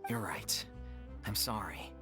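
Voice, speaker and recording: A woman playing an earnest, worried theatre troupe leader in a video game, speaking with measured sincerity. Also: a bass voice, over music. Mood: weary, concerned.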